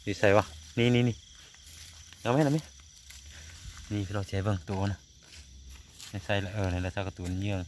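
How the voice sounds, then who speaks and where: Male vlogger, Isan accent, lowish pitch, folksy casual chat, outdoor night recording with faint crickets. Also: fairly quick, long pauses, darkish tone, slight background noise. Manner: folksy, repeatedly addresses viewers. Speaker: vlogger hunting frogs at night. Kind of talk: casual vlog chat to viewers.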